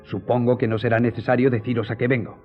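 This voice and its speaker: A man's voice: medium pitch, little pitch movement, fast, music in the background, natural voice. A man playing an earnest, challenging newspaperman who speaks directly and insistently in a radio drama. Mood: stern, insistent. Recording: thin phone-like audio.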